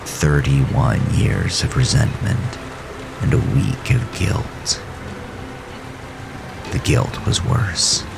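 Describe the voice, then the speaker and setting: A man narrating a first-person horror story in a deliberate, suspenseful, dramatic way with a gravelly voice. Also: low voice, lively intonation, over music, low-quality recording. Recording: rain and storm noise behind voice. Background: rain, storm ambience.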